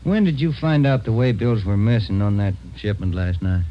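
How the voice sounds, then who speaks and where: An actor playing an eager junior police detective in a 1940s radio drama. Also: male voice, lowish voice, moderate pace, lightly acted. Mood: eager, probing. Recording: muffled vintage radio recording.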